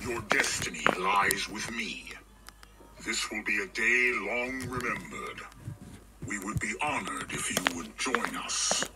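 An actor playing an imperious, menacing dark lord in a sci-fi game, speaking in a solemn, ominous, formal way. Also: male voice, on the low side, slow, over loud music. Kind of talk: dramatic sci-fi villain lines. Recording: very noisy playback with clicks.

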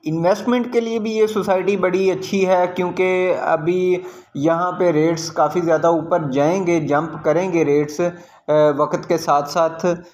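A man's voice: sits high, fairly even intonation, unhurried, darkish tone. A man with a Pakistani accent giving informal, explanatory commentary on a property site visit as a real estate video host.

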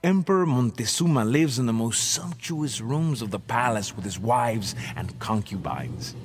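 An actor playing a proud Aztec jaguar warrior who solemnly recounts ominous events as dramatic game cutscene narration. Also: male voice, medium pitch, lively intonation, slightly rough. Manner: solemn, measured storytelling. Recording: music behind the voice.

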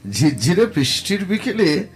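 An actor playing a teasing, sceptical young husband in an audio drama, bantering and sardonic. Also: male voice, high-pitched, very animated, unhurried.

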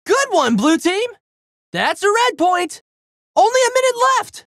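A man's voice: very high-pitched, sing-song, measured pace, fairly bright tone, no room sound, clean studio sound, full character voice. A man voicing a plucky, goofy teenage boy hero with slangy, exuberant delivery for a video game. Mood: battle-pumped, shouting. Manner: exuberant, slangy surfer-dude boyishness. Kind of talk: video game character voice lines.

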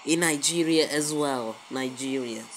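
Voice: mid-range voice, measured pace, fairly bright tone, poor audio. A woman giving casual gameplay commentary as a video creator, often repeating words and phrases, recorded on a handheld phone. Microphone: handheld phone filming a screen. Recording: faint background noise, amateur phone recording.

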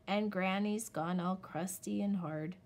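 A woman doing a gruff stern father wolf. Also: mid-range voice, steady tone, unhurried, a little mellow, slight background noise, close to an everyday voice. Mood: mild.

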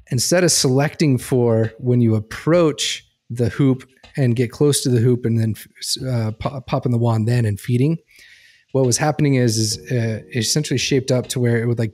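A man's voice: mid-range voice, darkish tone. A man telling anecdotes in casual podcast talk. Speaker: podcast speaker on behavior analysis.

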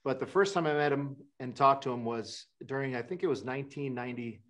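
A man's voice: mid-range voice, animated, brisk, a bit bright. A middle-aged man from Wisconsin, a sailor answering interview questions in a loose, rambling way with many fillers and restarts, over a webcam. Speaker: guest sailor. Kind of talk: casual interview talk. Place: indoor room.

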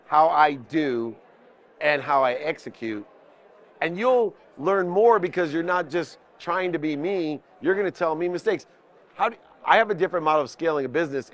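A man's voice: on the high side, a bit bright. A man in his fifties giving an impromptu business talk as a coach, emphatic, listing points in parallel phrases. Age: 50s. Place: indoor convention booth with onlookers. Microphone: small mic clipped at the collar.

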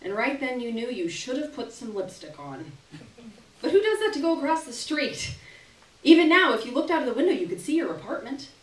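A young woman performs a short story in a fairly high, very lively voice, phrasing it deliberately and theatrically. She is heard from a distance in an echoing small room. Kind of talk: expressive literary reading to a live audience. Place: small indoor gallery reading event. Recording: roomy live venue, distant camera microphone.

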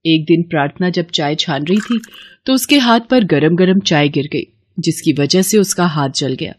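A woman's voice: low voice, moderate pace. A woman reading scripted narration for a moral story as the storyteller.

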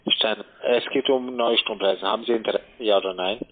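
A man's voice: lowish voice, quick pace. A man making a phone sales call as a telemarketer, with a pushy, curt manner. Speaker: telemarketing caller selling electricity contracts. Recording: tinny phone line.

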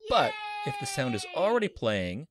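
A man's voice: on the high side, huge pitch swings, moderate pace, noisy background. A man teaching a screen-recorded coding lesson as a university instructor, upbeat and playful with jokey wordplay.

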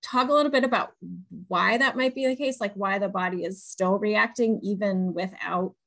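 A woman's voice: medium pitch, moderate pace, fairly bright tone. A woman, putting questions conversationally as the moderator of a webinar. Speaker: moderator, patient-advocacy research director. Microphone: video call on wired earbuds.

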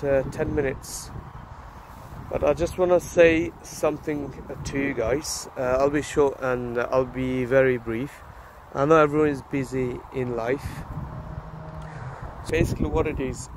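A slightly hoarse man in his thirties, vlogging casually on a phone in an open park, talking haltingly with many fillers and restarts. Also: mid-range voice. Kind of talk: casual selfie vlog. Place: open grassy park outdoors. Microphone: phone held at arm's length. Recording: outdoor phone audio with wind and traffic noise.

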